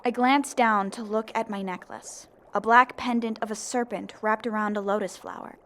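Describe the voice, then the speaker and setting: Woman as an earnest young zombie narrator, mid-pitched. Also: steady tone, moderate pace, fairly bright tone, slight background noise, close to an everyday voice. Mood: reflective. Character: candid young female zombie narrating her own story. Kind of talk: first-person scripted narration.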